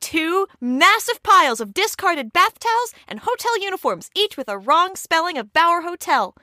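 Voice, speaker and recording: A woman's voice: very high voice, sing-song, moderate pace, sharp tone, no room sound, clean studio sound, exaggerated voice. A woman playing a folksy, bossy, eager demolition-loving spy in an audio comedy drama. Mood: urgent, gleeful. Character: gung-ho, folksy female explosives-happy spy.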